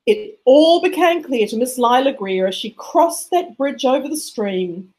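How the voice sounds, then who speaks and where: High, animated woman reading a storybook. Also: poor audio. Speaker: storybook reader. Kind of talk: expressive scripted narration of a rhyming children's picture book.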